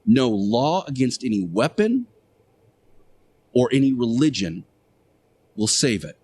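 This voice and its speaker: A man hosting a radio show, preaching in a deliberate monologue with emphatic short phrases, pauses and repetition. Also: medium pitch, big pitch swings, darkish tone, slightly rough. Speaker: radio show host playing Jesus in interactive radio theater.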